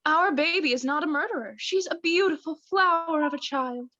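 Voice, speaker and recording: A woman's voice: high voice, fairly quick, bright, forceful tone, no echo. A young woman acting in a radio play as a sugary, naive, doting mother, cooing earnestly. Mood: protective. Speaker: student actor in radio play. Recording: dry, slightly dull home recording.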